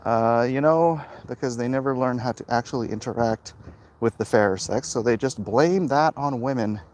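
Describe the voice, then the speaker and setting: A middle-aged man talking casually and haltingly to his viewers in a monologue recorded while out walking. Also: medium pitch, darkish tone, a little hiss or hum. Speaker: comic book creator vlogging. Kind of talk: casual walk-and-talk monologue. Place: outdoors, walking along a hilly route.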